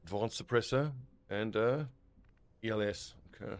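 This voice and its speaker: A man's voice: on the low side, moderate pace, clean tone. A man casually commentating his own strategy game play, thinking aloud and swearing now and then. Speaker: gaming video host.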